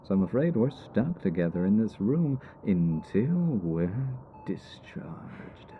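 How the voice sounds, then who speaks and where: A man's voice: lowish voice, very animated, slow pace, muffled recording, a little hiss or hum. A man playing a cocky, teasing supervillain stuck as a hospital patient in an audio roleplay, talking with smug mock politeness. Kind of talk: ASMR roleplay audio drama.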